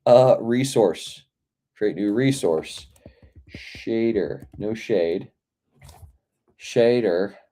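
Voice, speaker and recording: A hoarse man losing his voice, casually rambling and thinking aloud as he works through a game dev log. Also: fairly low pitch, very slow, pauses often, mellow. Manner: rambling, mutters half to himself. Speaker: indie game developer.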